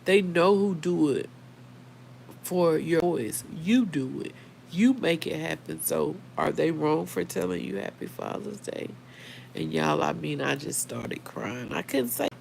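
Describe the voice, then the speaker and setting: An American woman talks earnestly and conversationally to her livestream audience. Her voice is slightly hoarse with a creaky edge, and she speaks at a slow pace. There is faint noise behind her. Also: sits low, animated, a little mellow. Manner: conversational, earnest, addresses listeners directly. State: a little hoarse. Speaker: livestream host. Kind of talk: casual live chat reading viewer comments.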